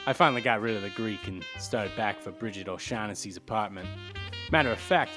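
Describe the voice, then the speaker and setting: Man playing a low-voiced, hard-boiled private detective. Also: brisk, music in the background, close to an everyday voice. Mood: wry, narrating. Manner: clipped, sardonic, hard-boiled. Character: tough, wry, impatient male private detective. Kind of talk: 1940s radio play acting and narration.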